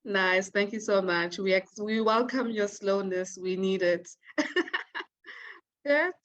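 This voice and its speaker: A woman's voice: medium pitch, slow pace, a bit bright. Woman moderator on a dull lo-fi call. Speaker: webinar moderator. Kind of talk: moderating a webinar. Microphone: video call. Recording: slightly dull lo-fi video call.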